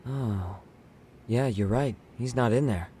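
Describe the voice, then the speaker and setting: A man's voice: medium pitch, takes it slowly, warm tone, a little hiss or hum, lightly acted. An actor playing an easygoing, curious male sidekick in a video game, talking casually and asking questions.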